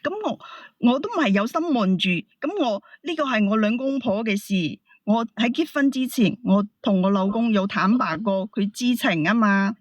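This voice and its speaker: A woman's voice: medium pitch, animated, moderate pace, dry recording. A woman with a Hong Kong Cantonese accent explaining herself as a caller, defensive and protesting. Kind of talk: heated personal explanation.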